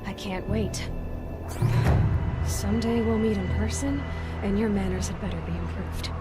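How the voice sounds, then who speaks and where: Woman acting a bold young huntress, medium pitch, wry, direct, determined, game dialogue, music behind. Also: normal speed, close to an everyday voice. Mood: curious, wry. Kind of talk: video game dramatic dialogue.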